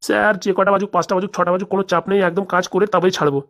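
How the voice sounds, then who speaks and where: An obsequious, wily cartoon schoolteacher, played by a man in a raised, high voice. He rattles off eager assurances very quickly. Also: fairly even intonation, creaky, a bit bright. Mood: eager, wheedling. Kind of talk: comedy cartoon dubbing.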